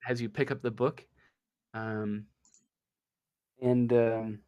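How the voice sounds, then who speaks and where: A man improvising as game master in a tabletop game, speaking haltingly and tentatively as he searches for words. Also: mid-range voice, little pitch movement, mellow, clear, resonant tone, muffled recording.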